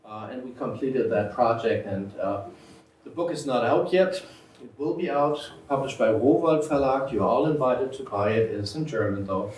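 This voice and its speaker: A man giving a measured, methodical academic lecture as a historian, now and then clearing his throat. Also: mid-range voice, darkish tone. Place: lecture room. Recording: roomy, from far off, faint hiss.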